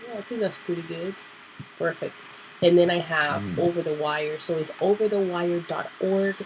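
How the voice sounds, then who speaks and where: A woman hosting a casual computer tutorial, nasal and clearing her throat because she is sick, thinking aloud with fillers. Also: lowish voice, moderate pace, a little hiss or hum, low-quality recording. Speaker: tutorial host. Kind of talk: casual screen-recorded tutorial.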